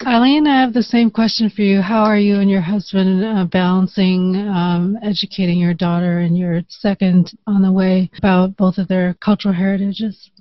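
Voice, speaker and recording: A woman hosting an interview, asking her guests curious, measured questions. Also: mid-range voice, steady tone, no room sound. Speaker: host. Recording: dull lo-fi dry audio.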